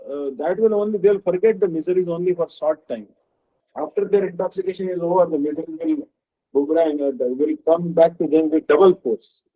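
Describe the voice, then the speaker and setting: A man giving a devotional discourse as a spiritual teacher, halting often and checking in with the listeners. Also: on the high side. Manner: halting, with frequent "uh" and questioning "huh?". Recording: dull lo-fi recording.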